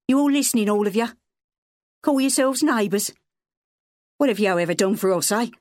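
A woman voicing a drunk, bitter working-class father who rants and yells. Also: lowish voice, normal speed, no room sound, clean studio sound, natural voice. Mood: yelling. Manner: yelling, slurring, coarse.

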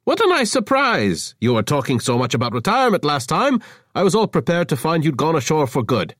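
A man's voice: sing-song, fairly quick, fairly bright tone, dry recording, studio quality. A man putting on a giddy, manic young man. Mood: manic cheer. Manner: gushing, high and theatrical.

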